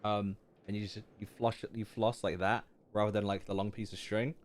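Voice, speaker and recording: A man's voice: lowish voice, little pitch movement, brisk, slight background noise. A man chatting casually and informally as a streamer reacting to a game, using lots of slang, with music behind. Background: faint game music.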